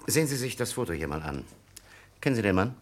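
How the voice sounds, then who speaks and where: An actor playing an authoritative, probing police captain in a vintage crime radio play, questioning in a clipped, insistent way. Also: male voice, on the low side, very animated, fast talker. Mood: stern, insistent.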